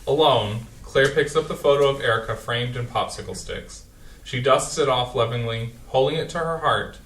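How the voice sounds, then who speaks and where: A reader speaking the stage directions aloud in a plain, even way during a play reading. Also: mid-range voice, moderate pace. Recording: echoey hall, voice from far off.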